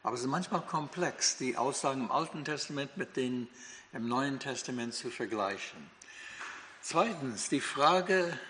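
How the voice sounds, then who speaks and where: A man's voice: medium pitch, expressive, normal speed, sharp tone. Older American man teaching in a hall. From United States. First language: English. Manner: hesitant, many fillers and restarts. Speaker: Bible teacher. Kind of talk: Bible teaching talk. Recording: long hall reverb.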